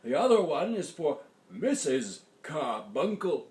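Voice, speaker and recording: Man putting on a loud, high, dramatic old grandpa. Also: very animated, slow. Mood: pleased. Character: toothless grumbling old grandpa, dramatic and miserable.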